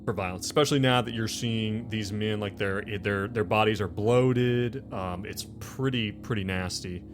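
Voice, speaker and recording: Low-voiced man running a game, music behind. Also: normal speed. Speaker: game master and show host. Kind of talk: casual narrating and explaining a tabletop game.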